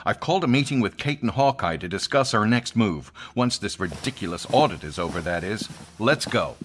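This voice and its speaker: A man's voice: lowish voice, expressive, fairly quick, slight background noise, close to an everyday voice. A man playing a fussy, eccentric space commander with a pompous manner in a sci-fi audio drama. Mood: brisk, irritated.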